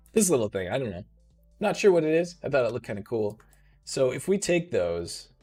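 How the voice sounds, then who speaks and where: A man in his thirties hosting a live software tutorial, thinking aloud in a relaxed way, his voice a little rough. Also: mid-range voice. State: slightly rough voice. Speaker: host.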